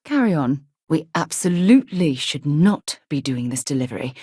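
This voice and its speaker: A woman playing a composed, principled space explorer in a video game, with articulate, measured and formal phrasing. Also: deep voice, big pitch swings, a little mellow, slightly rough, no room sound, studio quality, exaggerated voice. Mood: measured, thoughtful. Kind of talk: video game character dialogue.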